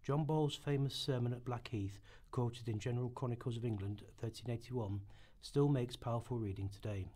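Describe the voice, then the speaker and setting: A man reads scripted narration for a historical radio play. His voice is husky and of medium pitch. The delivery is formal and earnest. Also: steady tone, normal speed, few pauses, dark tone.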